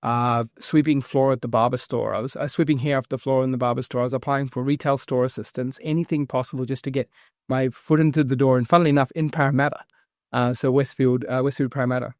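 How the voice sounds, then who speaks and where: Energetic New man, dry sound. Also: mid-range voice, dry recording. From New Zealand. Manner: energetic, fast-flowing explanations with fillers. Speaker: guest, property investment founder. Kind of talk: casual interview talk.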